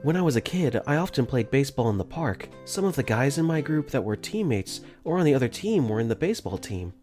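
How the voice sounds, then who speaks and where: A man narrating his own story in first person, earnest and quick to get flustered. His pitch moves a lot as he tells it. Soft music plays behind him. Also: big pitch swings. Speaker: narrator and lead in fan dub.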